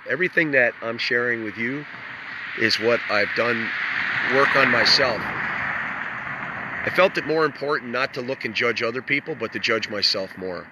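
A man's voice: mid-range voice, normal speed, very noisy. A man hosting a casual livestream outdoors by a lake, greeting viewers by name and musing at length. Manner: greets viewers by name, rambling reflective talk. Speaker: livestream host. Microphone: phone held while filming.

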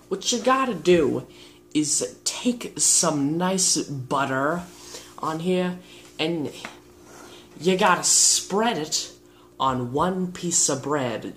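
A teenage boy presents a homemade cooking show in a casual, goofy, slangy style. His young male voice moves at a slow, unhurried pace as he explains each step. He is picked up by a camera on the kitchen counter, with clear background noise. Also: fairly high pitch, a bit bright. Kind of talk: casual vlog presenting, demonstrating steps. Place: home kitchen, indoors. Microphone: camera on the counter, about a metre away.

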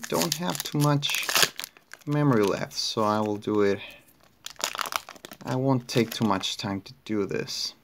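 A man's voice: medium pitch, gravelly, background noise. A young man from Chile with a Chilean Spanish accent, casually narrating a card pack opening with many fillers and restarts. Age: young adult. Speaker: card collector opening packs. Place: indoors at a table.

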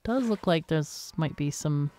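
A woman's voice: low-pitched, lively intonation, measured pace, dark tone. A woman gives casual, unscripted game commentary, thinking aloud and asking herself questions as she plays. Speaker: gaming video host.

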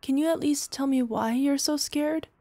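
A woman acting in an ASMR roleplay as a devoted, playful older girlfriend, with a soft, tender, teasing manner. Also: high-pitched, normal speed, dry recording, close to an everyday voice. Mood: concerned, tender. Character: devoted, playful, smitten older girlfriend soothing her lover.

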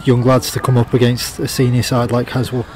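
A man interviewing a football coach with post-match questions, outdoors at a pitch. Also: completely flat delivery, a little fast, a crowd in the background.

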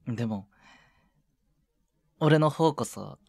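Man playing a slow, soft-spoken shy younger boyfriend. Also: mid-range voice, no room sound, heavily acted. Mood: shy, hesitant. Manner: soft, hesitant, breathy murmurs, casually polite. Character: shy younger junior boyfriend, awkward at showing affection. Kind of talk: intimate ASMR situation voice drama, one side of a phone call. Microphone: close, dry microphone.

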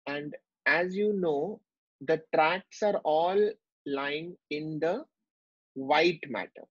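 Man, medical lecture, slightly high voice, husky, dull lo-fi dry audio. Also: extremely slow, dry recording. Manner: explanatory, with frequent fillers and restarts. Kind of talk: whiteboard teaching lecture. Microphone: online class recording.

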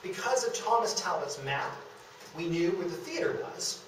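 A young man delivers a prepared lecture in a fairly high voice. He is picked up from a distance in a very echoey room, with some noise underneath. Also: sing-song, moderate pace, a bit bright. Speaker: lecturer presenting a local theatre history talk. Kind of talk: prepared public lecture, scripted. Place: meeting room in a historic house, at a podium. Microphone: distant camera microphone. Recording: echoey room, distant camera, background hiss.

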